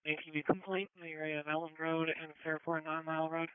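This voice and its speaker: A man's voice: on the high side, flat delivery, fast talker, clear, resonant tone, no echo. A man working as an emergency dispatcher, giving radio dispatch calls over a two-way scanner channel. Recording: narrow, tinny scanner radio.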